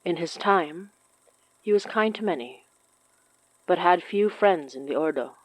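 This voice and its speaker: A woman's voice: fairly low pitch, lively intonation, measured pace, dry recording, poor audio. A woman hosting a fiction podcast, reading announcements and scripted story narration.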